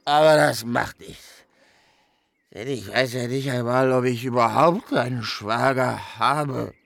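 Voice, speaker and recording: An actor playing a drunken, sleepy, confused man who explains himself stubbornly in halting phrases, in a literary radio play. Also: medium pitch, creaky, a bit bright, no echo, clean studio sound. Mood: befuddled. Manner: halting, speaks in separated phrases.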